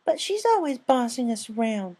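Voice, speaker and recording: A man's voice: extremely high pitch, big pitch swings, normal speed, dark tone, pitched above the performer's own voice, heavily acted. A man voicing a sulky young filly in a storybook reading, with a thin child-like voice and a pleading, complaining manner. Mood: pouting.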